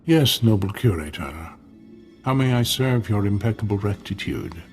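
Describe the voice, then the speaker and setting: A man's voice: fairly low pitch, animated, moderate pace, faint music behind, natural voice. Man playing a meek, lilting museum underling. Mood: servile, reluctant. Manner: obsequious, hesitant, overly formal. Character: meek, deferential male museum assistant, anxious to please. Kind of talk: video game voice acting.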